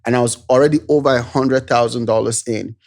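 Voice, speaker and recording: A man with a Nigerian accent tells his startup story as a podcast guest. His voice is mid-pitched and his delivery is relaxed and conversational. He leans on "you know" as he goes. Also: brisk, gravelly. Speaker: guest, tech founder. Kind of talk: casual interview chat.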